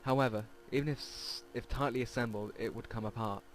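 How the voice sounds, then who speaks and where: A man with a medium-pitched voice reads visual novel text aloud as a let's play host. He trips over and repeats words here and there. Game music plays behind him. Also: steady tone, a little fast. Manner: stumbles and repeats words while reading.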